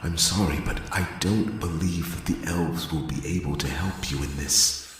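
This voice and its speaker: An aged elven envoy played by a man speaks in a very low voice with courtly, guarded restraint. It is a fantasy audio drama, heavily reverberant, with noisy ambience behind him. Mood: troubled, apologetic. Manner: formal, measured, deferential.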